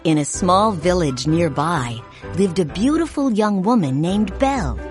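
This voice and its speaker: A woman narrating a read-along storybook in a clear, measured, expressive way. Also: on the low side, soft background music.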